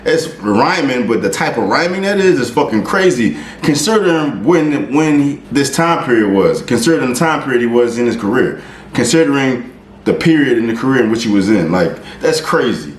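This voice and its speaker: A man hosting a reaction video, talking casually and excitedly, swearing often and restarting his sentences. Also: mid-range voice, a little fast, roomy sound.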